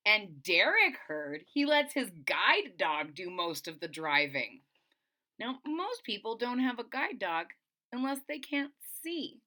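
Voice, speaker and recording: Lively, bright-voiced woman reading to children. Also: on the high side, unhurried. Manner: expressive, explains to young listeners, dramatic emphasis. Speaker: storyteller reading a picture book aloud. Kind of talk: animated children's read-aloud with asides. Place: small indoor room at home.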